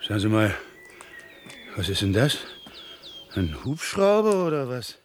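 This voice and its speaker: An actor playing an indignant, suspicious homeowner on an alien planet in a 1970s German radio play, blustering and pedantic. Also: male voice, medium pitch, big pitch swings, measured pace, a little hiss or hum. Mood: outraged.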